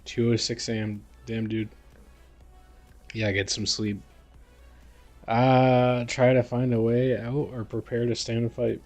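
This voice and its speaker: Slow-paced man chatting casually on a livestream. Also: mid-range voice, flat delivery, a little mellow, soft background music. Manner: slangy, laid-back banter with chat. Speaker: livestream host. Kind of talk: casual livestream chat while gaming.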